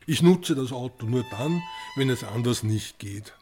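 Man in his 60s, calm and plain, music bed clearly behind him. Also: medium pitch, unhurried. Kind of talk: short scripted statement.

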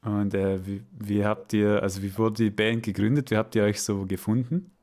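A man's voice: lowish voice, fairly even intonation, moderate pace, darkish tone. A man from Vorarlberg hosting a radio show, asking questions in a hesitant, friendly way with light laughs. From Vorarlberg, Austria. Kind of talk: casual interview.

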